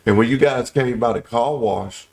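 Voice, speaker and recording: A man's voice: mid-range voice, moderate pace, gravelly. A middle-aged man from Pensacola hosting a local talk show, telling folksy stories in casual Southern speech. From Pensacola, Florida.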